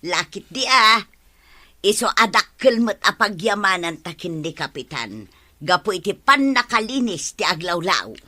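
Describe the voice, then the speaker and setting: A woman's voice: mid-range voice, very animated, measured pace, bright, forceful tone, full character voice. A woman with an Ilocano accent acting a grateful, practical village woman in a radio drama.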